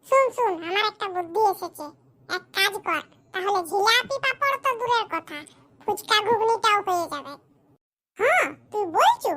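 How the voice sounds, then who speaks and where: A performer voicing a squeaky, indignant young man in a comedy cartoon, bickering. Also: pushed and bright, full character voice. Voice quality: squeaky, artificially high voice. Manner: scolding, bickering, rhetorical questions. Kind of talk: exaggerated comic cartoon dialogue in rural dialect.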